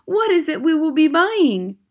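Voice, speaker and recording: A woman's voice: sing-song, a little slow, darkish tone. Woman voicing a chanting crowd of witches. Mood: fawning. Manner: shrill eager chanting. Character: crowd of excitable fawning female witches.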